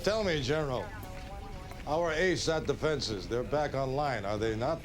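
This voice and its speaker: An actor playing a smooth, self-assured, power-hungry political director in a dramatic video game cutscene, speaking emphatically. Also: male voice, medium pitch, a little slow, lightly acted. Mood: coldly commanding.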